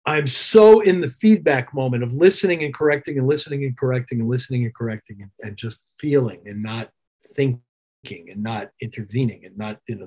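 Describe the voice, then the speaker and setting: A man's voice: mid-range voice, darkish tone, slightly rough, low-quality recording. A man speaking as a musician guest in an interview, reflective and conversational, repeating phrases for emphasis. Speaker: interview guest, keyboard player.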